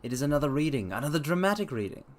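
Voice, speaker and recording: A man's voice: a little high, sing-song, rapid-fire. Theatrical man hosting a dramatic reading. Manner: theatrical, formal, old-fashioned phrasing. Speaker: livestream host and story reader. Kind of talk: livestream chat and dramatic reading.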